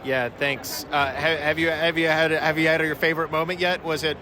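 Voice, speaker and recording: A man's voice: a little high, level tone, brisk. A man casually interviewing attendees on a convention floor, asking questions in a joking, offhand way. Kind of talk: casual vox-pop interviews. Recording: crowd noise on a convention floor.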